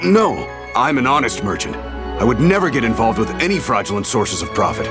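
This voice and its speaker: An actor voicing a dishonest middle-aged merchant in a video game, defensive and stammering under pressure. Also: male voice, medium pitch, big pitch swings, fairly quick, loud music behind the voice, close to an everyday voice. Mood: flustered.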